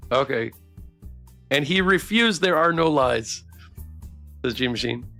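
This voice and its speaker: Slightly creaky man narrating a game. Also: mid-range voice, lively intonation, a little slow, sharp tone, soft background music. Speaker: streamer reading a visual novel aloud. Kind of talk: playthrough narration with chat banter.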